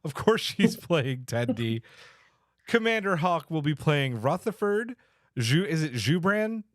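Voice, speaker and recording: A man's voice: on the high side, big pitch swings, unhurried, no room sound, studio quality. A man hosting a podcast in an enthusiastic, casual, chatty way. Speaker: podcast host.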